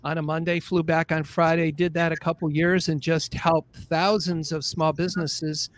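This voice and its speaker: A man's voice: a little high, level tone, moderate pace, no room sound. Upbeat male guest, dry close sound. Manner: upbeat, self-deprecating storyteller. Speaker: guest, business association president. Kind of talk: casual interview storytelling.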